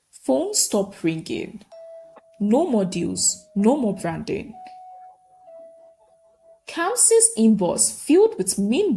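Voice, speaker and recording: A woman's voice: on the high side, warm tone. A woman narrating a scripted folktale as a storyteller, in dramatic short sentences.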